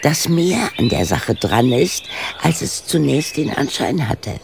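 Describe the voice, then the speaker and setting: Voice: medium pitch, very animated, measured pace, vocal fry, background noise. A performer playing a dignified, stubborn amateur sleuth in a German radio play, speaking formally and tartly. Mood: determined, curious. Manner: formal, haughty, precise.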